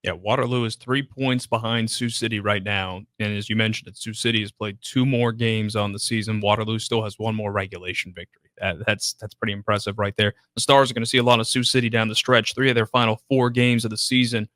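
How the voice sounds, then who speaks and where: A man's voice: lowish voice, steady tone, fast, a bit bright, no echo. A man hosting a sports radio show in a conversational style, on a broadcast microphone in a studio.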